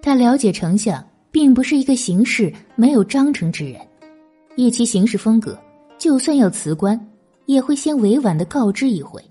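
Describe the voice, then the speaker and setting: Soft-toned, husky woman narrating a novel. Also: a little high, lively intonation, moderate pace, soft background music. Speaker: audiobook narrator. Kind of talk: scripted dramatic novel narration.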